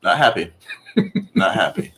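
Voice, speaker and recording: A man hosting a casual football watchalong stream, excitable and quick to laugh. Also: medium pitch, creaky. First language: not Spanish. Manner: excitable, laughs a lot, talks in quick bursts. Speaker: host.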